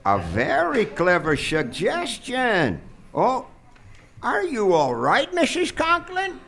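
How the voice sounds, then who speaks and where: Suave smug doctor, high voice, sing-song and slow, radio play. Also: male voice, wildly swooping pitch, vocal fry, fairly bright tone, exaggerated voice. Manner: formal, unctuous, deliberate. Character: suave, overly courteous, smug male doctor host. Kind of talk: live stage radio comedy acting.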